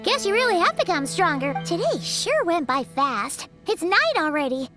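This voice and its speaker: An extremely high, childlike fairy sidekick from a video game. She is cheerful and excitable, with very animated intonation. Music plays clearly behind her. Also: moderate pace. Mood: excited, proud. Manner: chirpy, excitable, refers to herself by name. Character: tiny cheerful childlike floating fairy companion, talks in third person. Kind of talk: video game character voice acting.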